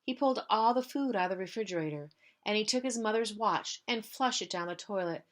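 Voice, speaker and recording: Woman, school storyteller, mid-range voice, children's read-aloud, drawn-out gentle refrains. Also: mid-range voice, expressive. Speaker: school staff member reading stories to pupils.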